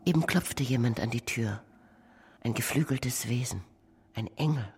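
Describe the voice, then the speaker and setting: A woman performing a contemplative narrator in a poetic radio play, with a measured, solemn delivery. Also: extremely low pitch, a little mellow, slightly rough, full character voice. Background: music.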